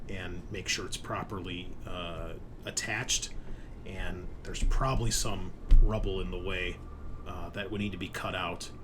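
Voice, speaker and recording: A man's voice: deep voice, background noise, close to an everyday voice. A man playing a helpful, practical ship crewman reporting hesitantly and apologetically in a sci-fi roleplaying game. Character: helpful ship crewman named Gray reporting over comms.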